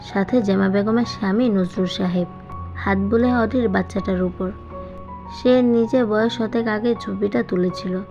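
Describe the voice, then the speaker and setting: A woman narrates a dramatic novel in a scripted storytelling style. Her voice sits at a medium pitch. Music runs behind her, and the recording sounds a bit muffled. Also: unhurried, vocal fry, darkish tone. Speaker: audiobook narrator.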